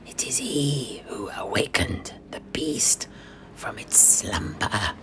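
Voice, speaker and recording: A man voicing a servile, ominous blind seer in a breathy, creaky whisper, halting and repeating himself. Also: on the low side, expressive, fairly bright tone. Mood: ominous pleading. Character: creepy, servile blind old seer with gnarled staff.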